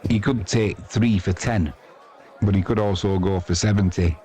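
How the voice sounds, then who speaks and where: Deep-voiced Englishman chatting cricket. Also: steady tone, normal speed, mellow. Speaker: live sports stream commentator and host. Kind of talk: casual ad-libbed live cricket commentary and chat. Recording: crowd noise behind the voice.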